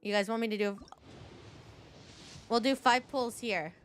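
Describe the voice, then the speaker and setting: A woman's voice: a little high, moderate pace, slight background noise. A woman giving casual, chatty live commentary as she plays a video game, thinking aloud to her viewers.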